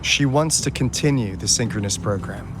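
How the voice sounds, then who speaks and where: A man's voice: mid-range voice, lightly acted. An actor playing an easygoing, wry young male agent in a video game, talking casually with teasing asides. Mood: casual, candid. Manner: casual, teasing, drawling then brisk asides. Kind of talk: video game cutscene voice acting, conversational. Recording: music behind the voice.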